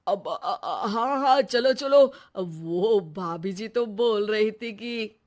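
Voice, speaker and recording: A woman's voice: mid-range voice, slow, darkish tone, natural voice. A woman voicing a flirtatious, fawning husband in a cartoon comedy, stammering and hesitant. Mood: caught out. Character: flustered, flirtatious middle-class husband, a fawning man. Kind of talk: Hindi cartoon comedy acting.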